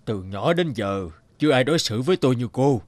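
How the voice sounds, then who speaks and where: A man voicing a rough, gruff robber in an animated morality tale. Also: medium pitch, brisk, no echo, close to an everyday voice. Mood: reflective, grateful. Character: rough male robber, menacing, later remorseful.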